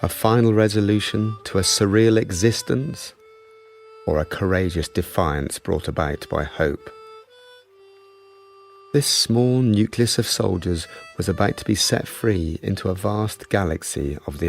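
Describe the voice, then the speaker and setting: Man as a deep, solemn, slow war-drama narrator. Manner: grave, deliberate.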